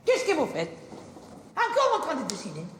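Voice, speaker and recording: A woman acting a strict, sadistic old-school schoolteacher on a theatre stage, shrill and scolding. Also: huge pitch swings, moderate pace. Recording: loud stage clip with crowd noise and hall reverb.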